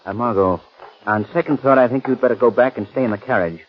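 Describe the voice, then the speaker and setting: An actor playing a suave, lightly teasing gentleman in a 1930s radio drama. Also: medium pitch, fast talker, natural voice. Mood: concerned, brisk. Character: suave, playful gentleman about town, protective of his companion. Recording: muffled, noisy 1930s radio recording.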